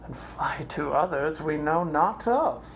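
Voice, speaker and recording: A performer playing a brooding Shakespearean prince in a theatrical soliloquy, deliberate and pausing, in a home recording. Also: medium pitch, animated. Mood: grave, troubled. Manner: deliberate, pausing, dropping to whispers. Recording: noisy amateur home recording.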